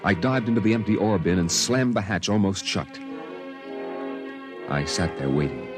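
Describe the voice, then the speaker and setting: A man playing a somber storyteller recounting his boyhood on Mars, in measured, dramatic first-person narration for a vintage radio drama. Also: deep voice, animated. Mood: tense. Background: dramatic music.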